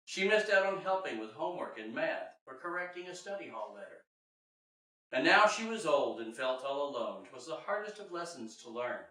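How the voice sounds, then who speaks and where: A bright-voiced man narrates a rhyming children's story from a distance in a very reverberant room. His pitch swings widely, and his delivery is theatrical and sing-song. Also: very animated, normal speed. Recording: very echoey room, distant mic.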